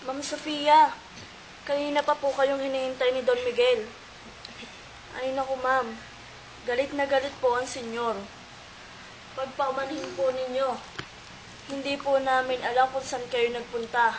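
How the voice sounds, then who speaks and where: A woman's voice: high voice, little pitch movement, a little slow, long pauses, slight background noise, rough recording. A Filipino woman playing an anxious, deferential mansion servant in an amateur radio drama.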